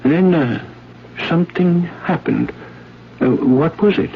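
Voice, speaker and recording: Slow, fussy village priest. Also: male voice, on the high side. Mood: flustered. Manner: hesitant, muttering, admonishing. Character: stern, fussy, easily flustered male village priest. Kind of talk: 1970s radio drama acting. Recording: dull, noisy 1970s radio broadcast.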